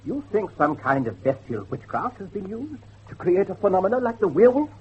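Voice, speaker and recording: An actor playing an earnest, excitable scholar of werewolves, professorial and halting, with a husky voice in a horror drama. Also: male voice, high voice, lo-fi sound, a little hiss or hum. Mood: intrigued, grave. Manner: professorial, hesitant, halting emphasis. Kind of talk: dramatic horror dialogue acting.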